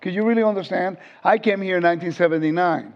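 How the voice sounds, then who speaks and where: A man's voice: sits high, fast, vocal fry. A man in his fifties from Puerto Rico, giving an informal Bible lesson and often quizzing his class. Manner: conversational, asks the audience questions. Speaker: Bible teacher. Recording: dull lo-fi sound.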